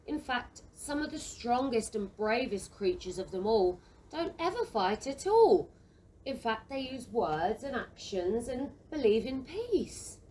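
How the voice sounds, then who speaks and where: A woman playing a gentle, reassuring village mother in an audio play. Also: fairly high pitch, very animated, unhurried, slight background noise, low-quality recording. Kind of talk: community audio play acting.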